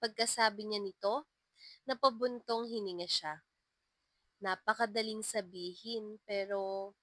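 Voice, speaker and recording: A woman's voice: medium pitch, a bit bright, no room sound. A woman narrating a scripted love story as a storyteller.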